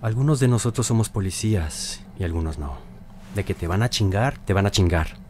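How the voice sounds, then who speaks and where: An actor playing a corrupt, intimidating police boss in an audio drama, giving menacing orders. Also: male voice, fairly low pitch, brisk, darkish tone, close to an everyday voice. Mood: cold menace. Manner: menacing, commanding. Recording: noisy room with clicks.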